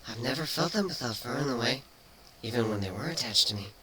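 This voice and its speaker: A man voicing a playful, teasing female changeling pony in a cartoon fan fiction reading, matter-of-fact even with mock threats. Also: mid-range voice, quick pace, crowd noise, close to an everyday voice, rough recording. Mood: drowsy, contented.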